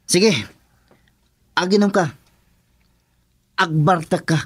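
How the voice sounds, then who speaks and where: A man's voice: high voice, steady tone, slow pace, breathy edge, exaggerated voice. A man with an Ilocano Filipino accent playing a cocky, goading gang henchman in a radio drama.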